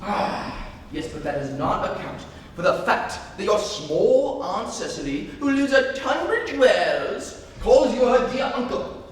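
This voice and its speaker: Noisy echoing hall, teenage male actor as flippant young gentleman, high-pitched, theatrical, teasing, stage comedy. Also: sing-song, measured pace. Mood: amused, insistent. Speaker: student actor. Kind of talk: dramatic stage reading of a comedy. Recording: distant, very echoey hall, noisy.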